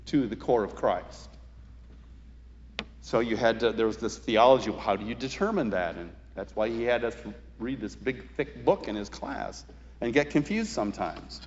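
A man preaching a sermon as a pastor, speaking in a reflective, deliberate way with emphatic pauses. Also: a little high. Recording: reverberant hall, slightly dull sound.

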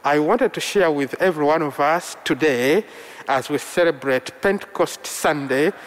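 A man from Uganda whose first language is Luganda, preaching a sermon in slow, measured short phrases. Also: on the high side. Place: church. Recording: long reverb of a large hall.

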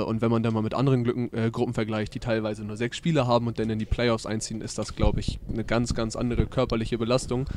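A 19-year-old man talks fast and eagerly about his team. His tone is warm and mellow, and he often restarts mid-thought. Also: mid-range voice, slight background noise. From northern Germany. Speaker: junior football player guest. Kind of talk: interview answers.

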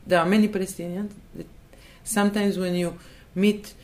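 A woman's voice: medium pitch, flat delivery, moderate pace, darkish tone. A woman from Israel, answering in a thoughtful interview and hesitating and restarting as she looks for words. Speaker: interview guest.

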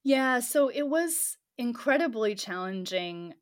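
A woman's voice: on the high side, expressive, slow. A woman who is a pastor and scholar, answering interview questions thoughtfully and often laughing lightly between phrases.

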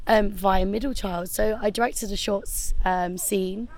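A young woman, hoarse and gravelly from losing her voice, chatting casually about theatre work with lots of ums and likes. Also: mid-range voice, steady tone, unhurried, a little mellow. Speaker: assistant theatre director. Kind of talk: casual podcast interview. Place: theatre venue with music in the background.

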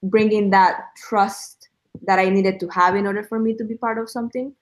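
A woman's voice: medium pitch, fairly even intonation, normal speed. Young woman, earnest reflective guest with a mid-range voice. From El Salvador. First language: Spanish. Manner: earnest, reflective, with frequent fillers. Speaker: guest sharing a faith story. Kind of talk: casual testimony chat.

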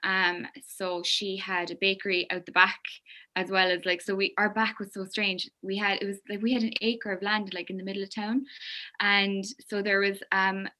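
Irish woman, forties, podcast guest, bright voice, chatty, laughs often. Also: mid-range voice, flat delivery, normal speed. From County Limerick, Ireland. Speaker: guest, make-up artist. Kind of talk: casual interview chat.

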